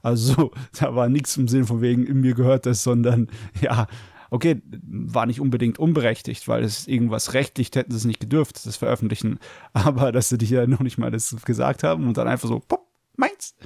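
Gravelly man chatting up close. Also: mid-range voice, fairly even intonation, brisk, warm tone, no room sound. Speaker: podcast co-host. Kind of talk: casual chat.

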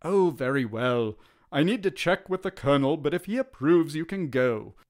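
A man voicing a curt, grudging British army lieutenant, brisk and commanding, in a storybook. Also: on the high side, animated.

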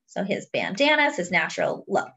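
Animated woman reading a picture book aloud. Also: mid-range voice, normal speed. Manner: expressive, explains words and pictures as if to young children. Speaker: host of a children's read-aloud.

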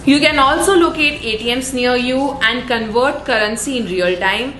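Travel vlogger, female, young adult, Indian accent, scripted delivery, higher pitch, roomy recording. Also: normal speed, fairly bright tone, slight background noise. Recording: roomy, music underneath. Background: background music.

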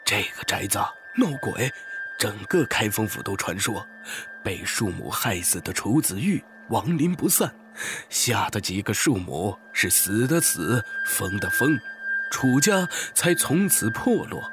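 Actor as gossipy broker, lively pitch, faint room noise behind. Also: male voice, a little slow. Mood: confiding. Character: male house broker Mr. Lin, gossipy and cautious. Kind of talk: Chinese audio drama acting.

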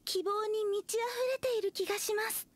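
A woman's voice: very high voice, fairly even intonation, fairly quick. A woman playing a naive, lovelorn young believer, polite and earnest, in anime game acting. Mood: fervent.

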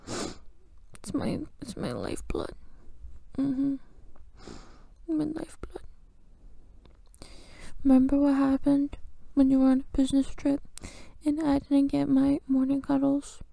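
A woman's voice: high voice, a little slow, gravelly, long pauses, warm tone, dry recording, full character voice. A woman playing a clingy, playfully bossy girlfriend in an improvised ASMR roleplay, murmuring drowsily close to the mic and often dropping to a whisper. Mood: needy. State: sleepy.

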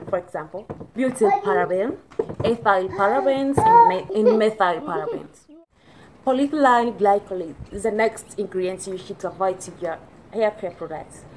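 A young woman from Tanzania with a Tanzanian accent, Swahili her first language, talking to camera about hair care in careful, halting English with many restarts. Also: mid-range voice, expressive, noisy background. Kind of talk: casual talk to camera. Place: indoors, plain plastered wall.

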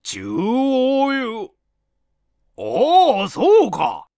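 Man putting on a gruff, wildly animated old professor. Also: very high voice, extremely slow, dry recording, clean studio sound, voice pushed up, exaggerated voice. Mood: gruff, astonished. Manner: old-fashioned elderly speech, blustering. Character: gruff, forgetful old male professor, imperious.

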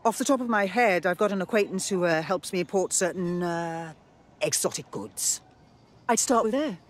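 An actor playing a chatty underworld contact in a video game, with a casual, hesitant, faintly wry manner. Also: male voice, high voice, moderate pace, close to an everyday voice. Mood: helpful, wry. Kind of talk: video game cutscene acting.

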